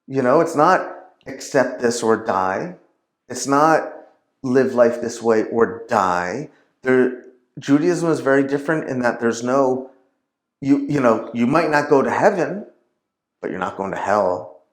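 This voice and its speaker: A man's voice: mid-range voice, lively intonation, a little slow. A man speaking as a guest in a casual conversation, rambling and leaning heavily on fillers and restarts. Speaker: podcast guest. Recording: roomy echo.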